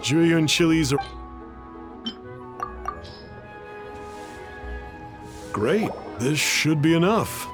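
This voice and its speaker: An actor playing a helpful young cook and loyal friend in a video game, plain-spoken and friendly. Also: male voice, a little high, animated, a little slow. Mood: upbeat, helpful. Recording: music playing behind.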